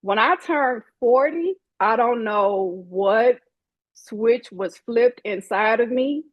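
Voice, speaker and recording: Sassy, husky-voiced woman in her forties. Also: fairly high pitch, slow pace, dry recording, highs cut off. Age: 40s. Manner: sassy, emphatic, acts out quoted people. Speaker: guest. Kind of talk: candid interview talk.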